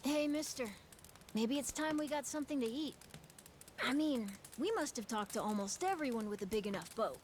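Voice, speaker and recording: A woman's voice: high-pitched, lively intonation, normal speed, slight background noise. A woman voicing a plucky, curious island child in a video game, offhand and earnest with a youthful, casual delivery. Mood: casual, friendly. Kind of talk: video game cutscene acting. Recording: music clearly behind the dialogue.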